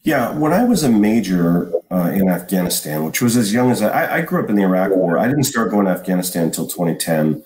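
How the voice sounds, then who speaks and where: An American man in his forties, an army officer giving measured, detailed explanations in an interview. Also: sits low, very animated, a little mellow. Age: 40s. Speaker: army colonel, interview guest. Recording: roomy, echoing sound.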